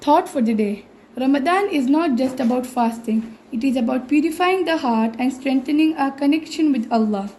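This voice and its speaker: Teen student, female, high voice, scripted assembly reading, light background noise. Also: normal speed, a little mellow. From India. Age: teenager, ninth grade. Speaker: student speaker. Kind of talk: read-out thought for the day. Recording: faint background noise, school intercom assembly.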